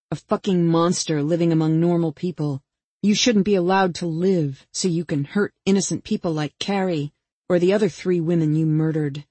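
A woman's voice: on the low side, dark tone, husky, no room sound. A woman voicing a vengeful young female killer in an audiobook, taunting and mock-patient as she puts pointed questions. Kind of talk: dramatic audiobook character dialogue.

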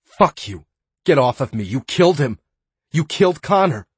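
A man voicing a defiant, distraught young woman who shouts in protest. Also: a little high, animated, unhurried, slightly rough, no echo, voice pushed up, exaggerated voice. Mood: angry distraught.

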